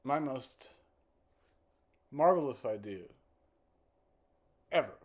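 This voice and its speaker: A man performs a spoken-word poem at a slow pace. He breaks the lines into short, deliberate fragments, leaving halting gaps and repeating words. His pitch sits in the middle range for a man. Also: expressive. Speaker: poet reciting own work. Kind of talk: dramatic spoken-word poetry recital.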